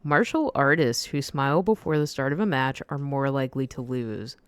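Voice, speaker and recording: A woman's voice: a bass voice, moderate pace, clean tone. A woman co-hosting a casual podcast, reading out fun facts in a chatty way, with lots of fillers and restarts.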